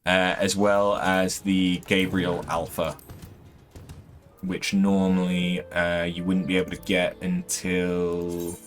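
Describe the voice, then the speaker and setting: A man with a fairly deep voice giving casual, hesitant let's-play commentary, throat a bit strained from voicing characters. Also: completely flat delivery, faint music behind. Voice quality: relatively deep voice. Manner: hesitant, many ums, unhurried. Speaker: gaming streamer.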